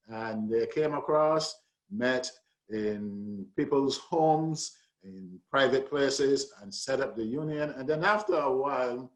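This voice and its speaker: A man with a mid-pitched voice speaks as a panelist at a public discussion. He tells history like a story, deliberately and with emphasis, setting it out in measured phrases. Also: poor audio.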